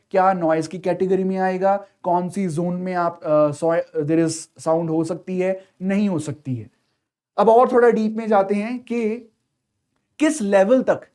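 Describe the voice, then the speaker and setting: This is a man with an Indian accent and a notably high-pitched voice. He lectures like an exam coach, didactic and explanatory. He often sets up a question, answers it himself and checks in with short tags. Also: darkish tone. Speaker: civil services exam teacher.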